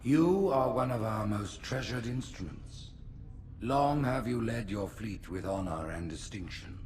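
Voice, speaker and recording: An actor playing a stately alien religious leader in a game, passing cold judgment in a slow, grandiose manner. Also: male voice, on the low side, expressive, noisy background. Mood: cold, deliberate. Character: stately alien high prophet, coldly judging religious leader.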